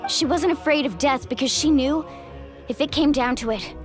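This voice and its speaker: A woman's voice: sits high, moderate pace, lightly acted. An actress voicing a passionate young warrior woman in a video game, speaking earnestly and with open emotion. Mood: grieving. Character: passionate young warrior woman grieving her lost friend. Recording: music behind the voice. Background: background music.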